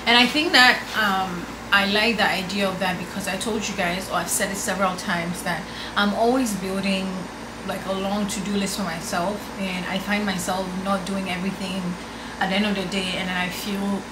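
A woman's voice: medium pitch, fairly even intonation, normal speed, reverberant. A woman in her twenties chatting upbeat to her viewers in a casual vlog, filmed on a camera at arm's length at home. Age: 20s. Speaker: vlogger. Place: bedroom and home office, indoors. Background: air conditioner hum.

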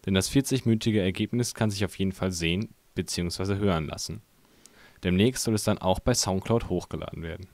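A man's voice: low voice, a little fast, darkish tone, dry recording. A man reading scripted narration for a news report as its reporter.

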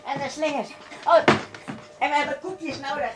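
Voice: sits high, fairly even intonation, normal speed, roomy sound, heavy background noise, close to an everyday voice. An adult actor voicing a curious, playful young child in a children's audio play, with wondering short exclamations. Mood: eager. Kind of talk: children's audio drama acting.

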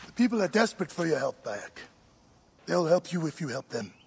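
An actor playing a weary village priest who speaks earnestly and urgently in a game cutscene. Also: male voice, fairly high pitch, very animated, a little fast, gravelly, darkish tone, breathy edge, full character voice. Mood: worried. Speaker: priest character.

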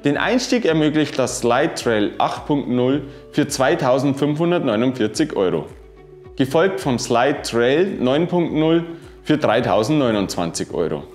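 Guy in his 30s reading off bike specs, steady tone, roomy room sound, bit of music under it. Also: mid-range voice, unhurried. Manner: even, informative delivery dense with specs. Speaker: bike reviewer. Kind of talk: scripted product review narration. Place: wooden-walled workshop room, indoors.

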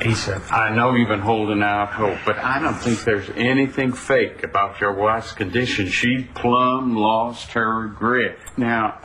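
Cast: actor as older ranch hand, folksy drawl, noisy track. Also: male voice, lowish voice, fairly even intonation, a little slow, few pauses, lightly acted.

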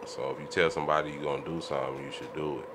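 A man's voice: extremely low pitch, fairly even intonation, racing, crowd noise. An American man chatting casually on a podcast in a joking way, repeating his points. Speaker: podcast co-host. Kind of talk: casual chat. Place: small room set up as a podcast studio. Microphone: studio mic on boom arm. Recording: noisy room with clear background ambience.

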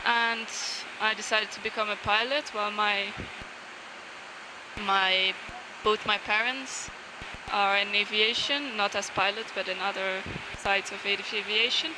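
Young woman pilot over clear cockpit noise. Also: medium pitch, fairly even intonation, measured pace. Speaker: airline first officer. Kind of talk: self-introduction to camera. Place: small airliner cockpit in flight. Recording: loud cockpit engine noise.

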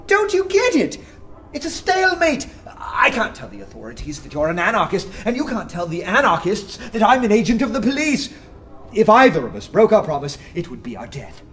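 An actor playing a bold undercover detective in a radio drama, sardonic and animated. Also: male voice, sits high, a little fast, a little hiss or hum, exaggerated voice. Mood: exultant. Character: wry, bold undercover detective posing as a poet. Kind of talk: full-cast radio drama acting.

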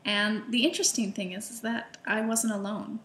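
A woman's voice: medium pitch, fairly even intonation, moderate pace, a bit bright, reverberant, a little hiss or hum. A middle-aged woman telling a personal story to camera in a chatty, enthusiastic way, with fillers and restarts. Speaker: illustrator and food vlogger.

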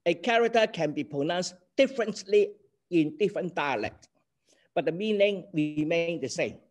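Older hong kong guy lecturing on a video call, kinda high voice, keeps saying okay?. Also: lively intonation, moderate pace. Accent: Hong Kong Cantonese. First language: Cantonese. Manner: earnest, explanatory, checks in with "okay?". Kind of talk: online class lecture.

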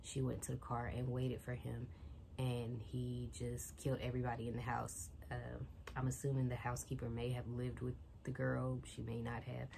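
Young woman, very low mellow voice, casual rambly bedroom vlog, faint room hiss. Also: level tone, moderate pace, vocal fry. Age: young adult. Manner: rambling, retells a book plot loosely with many fillers. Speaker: vlogger. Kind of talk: casual chat while tidying. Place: small bedroom with bookshelf and TV, indoors. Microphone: phone or camera set on a shelf, she moves near and away.

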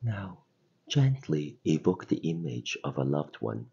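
A man with a very deep, husky voice guides a meditation at an unhurried pace. His phrasing is gentle and soothing, with pauses between instructions. The recording sounds somewhat dull. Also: very animated. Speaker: meditation guide and podcast host.

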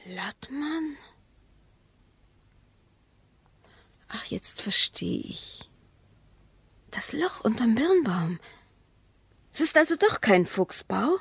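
An actress playing a dreamy, estranged wife in a radio play, with a breathy, often whispering voice and dramatic delivery. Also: female voice, a little high, very animated, exaggerated voice. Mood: puzzled. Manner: slow, hushed, dramatic delivery. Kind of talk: German radio play acting.

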